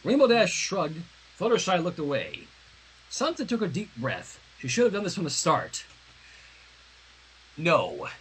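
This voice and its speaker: Someone reading fan fiction aloud as a livestream host, narrating in an animated, dramatic way. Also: medium pitch, normal speed, slight background noise, low-quality recording.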